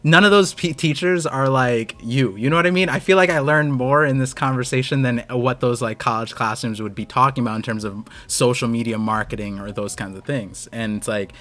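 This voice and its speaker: A man's voice: mid-range voice, brisk, hardly any pauses, fairly bright tone. A man hosting a stream, talking casually and rambling with lots of restarts. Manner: rambling, many fillers and restarts. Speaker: host.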